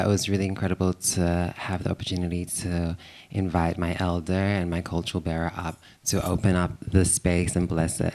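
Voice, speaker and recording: A man with a very low, gravelly voice answers as a guest on a live podcast panel. He speaks in a thoughtful, measured way and often slows down to choose his words. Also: darkish tone. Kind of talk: reflective live podcast chat.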